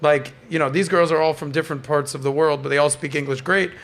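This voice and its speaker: A man's voice: a little high, steady tone, fast, fairly bright tone. A man hosting a podcast in casual, opinionated panel chat, bantering and swearing freely. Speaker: podcast host.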